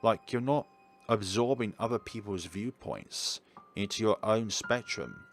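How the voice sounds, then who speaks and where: A man reading a visual novel aloud as expressive narration, adding his own casual commentary. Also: on the low side, a little slow, slight background noise. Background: soft game music.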